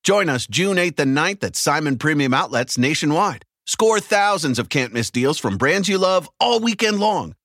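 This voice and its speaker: A man's voice: a little high, bright, forceful tone, dry recording. A man delivering an upbeat promotional voice-over as an advertisement announcer, close on a studio microphone.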